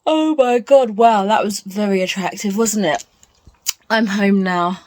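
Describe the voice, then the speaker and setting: A woman's voice: mid-range voice, measured pace, a little mellow, no echo. A woman talking casually to her viewers as a book vlogger, in a playful, rambling, self-deprecating way. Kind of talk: casual chatty vlog to viewers.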